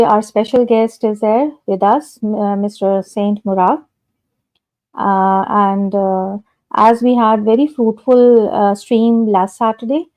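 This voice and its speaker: A woman's voice: medium pitch, mellow. A woman hosting a live stream, speaking politely and hesitantly with many fillers over a video call. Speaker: host.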